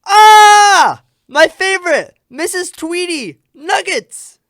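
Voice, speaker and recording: A man's voice: very high-pitched, animated, slow, fairly bright tone, no room sound, clean studio sound, voice pushed up, exaggerated voice. A man voicing an easygoing, greedy chicken in a radio comedy sketch, comically earnest and given to exclaiming. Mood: excited.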